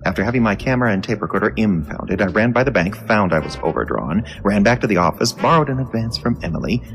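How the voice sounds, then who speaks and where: A man's voice: on the low side, animated, fast talker, a bit bright, soft background music. An actor playing a weary, wisecracking newspaper reporter in an audio drama, muttering and stammering through his lines. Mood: weary, wry. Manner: muttering, stammering, hesitant asides. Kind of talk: audio drama acting, noir narration.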